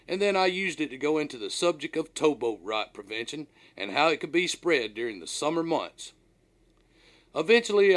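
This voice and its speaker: A man reading his own first-person story aloud as a scripted audiobook, in an animated, dramatic storyteller's manner. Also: on the high side.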